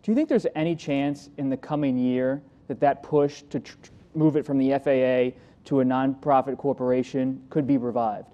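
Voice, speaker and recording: A man's voice: medium pitch, moderate pace, warm tone. A man working as an interviewer, putting questions in a live interview, heard in slightly dull sound.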